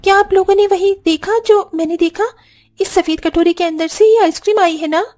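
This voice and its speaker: Very high-pitched eager little girl. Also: steady tone, brisk, a little mellow. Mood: amazed. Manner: childlike, eager. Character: excitable, hopeful poor little girl. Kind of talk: Hindi cartoon story voice acting.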